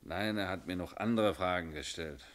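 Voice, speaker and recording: A man's voice: low voice, moderate pace, a bit bright. An actor playing a guarded, cheeky barman under police questioning in a German radio crime drama, explaining in short bursts.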